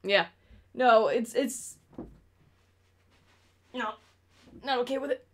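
A young woman from upstate New York talks into a desk mic in her bedroom during a live stream. Her voice sits a little high, and she rants bluntly and swears freely. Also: takes it slowly. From New York State. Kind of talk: casual stream chat.

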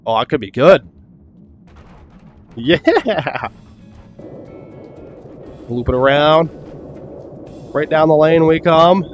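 A young man giving casual, excitable gameplay commentary, swearing often and laughing a lot. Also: fairly high pitch, very slow, long pauses, soft background music. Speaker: gaming YouTuber.

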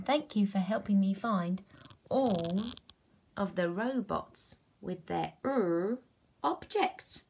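A woman's voice: on the low side, gravelly, a little mellow, breathy edge. A British woman in her thirties leading an interactive phonics lesson for young children at home, encouraging and questioning, with exaggerated enunciation. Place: small room at home.

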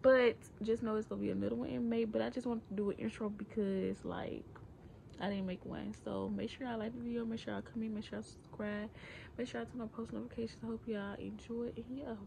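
A woman's voice: fairly high pitch, vocal fry, warm tone, slight background noise. A teenage girl vlogging casually to her phone at home, chatty and rambling as she talks straight to her viewers. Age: teens. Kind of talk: casual chat to camera. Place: indoors at home, small room. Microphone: phone held close.

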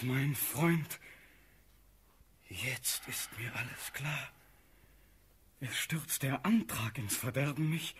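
Husky, impassioned young condemned prince. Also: male voice, on the high side, full character voice. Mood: shaken. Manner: declamatory, impassioned, pausing for effect. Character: young passionate prince condemned to death, proud, desperate. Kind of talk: classical verse radio-play acting.

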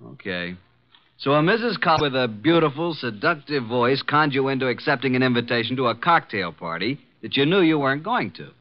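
An actor playing a breezy, wisecracking detective's assistant, glib and sarcastic, in old-time radio drama style. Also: male voice, mid-range voice, big pitch swings, fairly quick, close to an everyday voice. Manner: glib, sarcastic, smooth-talking. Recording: muffled vintage radio broadcast.

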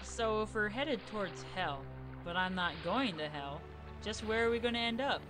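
A sardonic young female cartoon creature, voiced by a woman grumbles bluntly with big swings in pitch. It is irritable radio play comedy, with music playing underneath. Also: animated, normal speed, close to an everyday voice. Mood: grumbling. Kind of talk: comic fantasy radio play acting.

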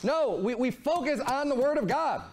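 A man's voice: very high voice, moderate pace, slight background noise. A man in his thirties preaching a sermon from a church pulpit, his voice climbing and dropping as he drives his points home. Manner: animated preaching, voice rising and falling a lot. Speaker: pastor. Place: church sanctuary, speaking at a pulpit.

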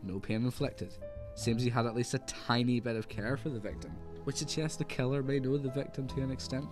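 A man's voice: medium pitch, steady tone, a little fast, over music, lightly acted. A man playing a stern, exasperated professional detective inspector in an amateur radio drama, speaking formally and precisely. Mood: analytical. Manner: formal, clipped, no contractions.

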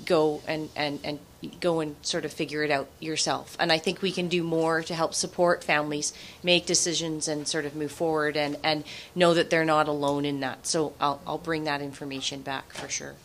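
Woman, 40s, low voice, chatty panel answer, desk mic, conference room. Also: level tone, normal speed, few pauses, fairly bright tone, slight background noise. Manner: conversational, many restarts and fillers. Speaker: government representative on a panel. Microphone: desk microphone on stand.

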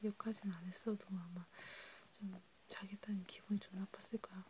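A woman whispering breathily in an intimate, halting ASMR role play, talking informally as if to one listener. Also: mid-range voice. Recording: steady background noise with clicks.

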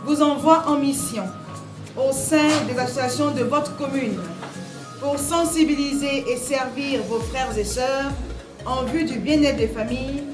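A woman's voice: high voice, fairly even intonation, measured pace, music in the background. A woman making a formal, solemn declaration in a conference hall, recorded from a distance in an echoey room.

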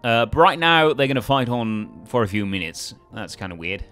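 A man's voice: medium pitch, sing-song, moderate pace, a bit bright, clear, resonant tone, soft background music. A man casually thinking aloud as he commentates a video game. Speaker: let's play host.

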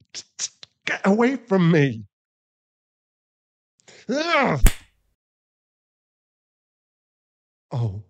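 A man playing a distraught, guilt-ridden werewolf boyfriend in an intimate ASMR roleplay, halting and pleading. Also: medium pitch, darkish tone, husky, dull audio, exaggerated voice. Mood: tearful, defensive, then shocked, anxious. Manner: halting, pleading, emotionally fragile.

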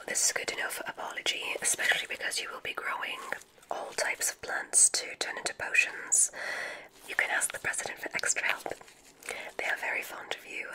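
A woman whispering an ASMR roleplay as a gentle witch examiner, guiding deliberately with soft, encouraging praise. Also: poor audio.

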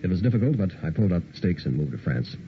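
An actor playing a troubled, weary count, grave and hesitant, in an old-time radio horror drama. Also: male voice, deep voice, very animated, quick pace. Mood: somber, recounting. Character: troubled, weary young count of a lonely castle. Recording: muffled, hissy old radio recording.

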